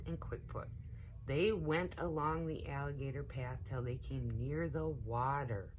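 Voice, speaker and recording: A woman with a low voice reads a children's story at a slow pace. Her delivery is animated and emphatic, pitched to young listeners. The recording has slight background noise. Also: rough recording. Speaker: story time reader, teaching assistant. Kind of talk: expressive children's storybook reading.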